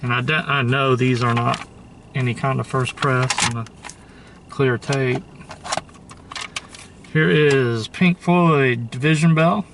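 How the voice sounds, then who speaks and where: A man's voice: mid-range voice, steady tone, slow pace, pauses often. Man chatting casually, some background noise. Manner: informal, musing aloud, opinionated. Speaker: host showing a thrift store tape collection. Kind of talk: casual show-and-tell commentary.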